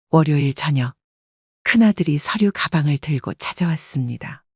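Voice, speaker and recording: Calm, breathy woman narrator. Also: deep voice, no room sound, clean studio sound. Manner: calm, measured. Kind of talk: first-person story narration.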